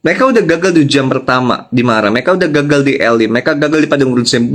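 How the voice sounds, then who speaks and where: A man's voice: on the high side, a bit bright. A man preaching a devotional to online listeners as a pastor, emphatically repeating key phrases and checking in with his audience. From Indonesia.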